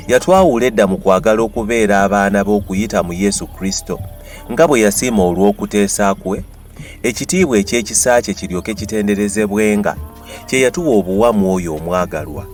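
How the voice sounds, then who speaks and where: Male Bible narrator, Ugandan accent, lower register, scripted scripture read with light music bed. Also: darkish tone. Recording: music behind the voice.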